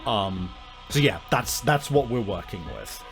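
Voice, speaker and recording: A man's voice: on the low side, sing-song, a little slow, creaky, music in the background. A man acting as game master, narrating a tabletop role-playing game with vivid, dramatic description and rules talk.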